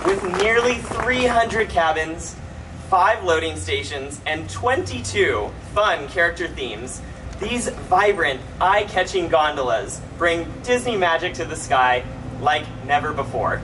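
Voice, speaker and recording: A man's voice: fairly high pitch, lively intonation, unhurried, crowd noise. A man acting as emcee and company ambassador, delivering an upbeat scripted welcome at a public event. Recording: distant outdoor recording with clear background noise.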